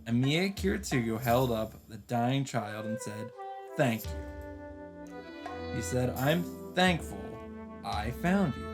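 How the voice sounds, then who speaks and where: Male let's play host. Medium pitch, expressive story reading with casual asides, clear music underneath. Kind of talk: dramatic read-aloud narration with asides.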